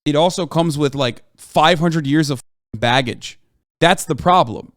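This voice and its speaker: A man's voice: a little high, unhurried, no room sound, clean studio sound. A man streaming live, giving blunt, emphatic commentary and lecturing his chat, often checking in with "okay?". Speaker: livestreamer reacting to chat. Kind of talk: heated livestream commentary.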